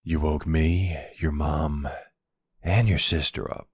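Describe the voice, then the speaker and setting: A man playing a weary, affectionate older retired mafia boss in an audio roleplay, gently gruff and unhurried. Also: very deep voice, fairly even intonation, full character voice. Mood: tired, easygoing.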